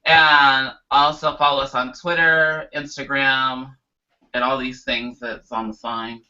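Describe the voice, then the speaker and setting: A man's voice: mid-range voice, flat delivery, unhurried, sharp tone. A man co-hosting a show with playful, emphatic banter, recorded in dull lo-fi sound. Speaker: show co-host. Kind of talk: casual banter and show outro. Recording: dull lo-fi audio.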